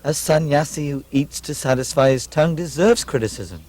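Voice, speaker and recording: A man playing a humble, serene ascetic holy man in a puppet show, calm and measured. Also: fairly high pitch, close to an everyday voice. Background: music.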